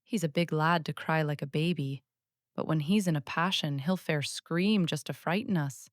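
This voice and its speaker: A woman's voice: low voice, normal speed, no echo, studio quality, close to an everyday voice. A woman voicing a flustered young Yorkshire housemaid who exclaims and frets in dialect, in a storybook reading. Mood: amazed. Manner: exclaiming, dialect speech, agitated.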